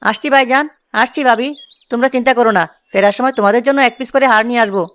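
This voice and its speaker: A woman's voice: a little high, rapid-fire, a bit bright, lightly acted. A woman voicing a lazy, gluttonous young village man in a cartoon story, whining and carefree. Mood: eager. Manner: whining, carefree, dreamy about food.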